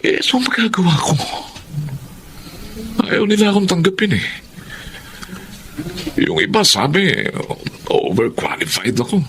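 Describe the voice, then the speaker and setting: An actor playing a proud, stubborn, defensive husband and head of household in a Filipino radio drama. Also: male voice, sits high, huge pitch swings, a little slow, long pauses, background noise, heavily acted. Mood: frustrated.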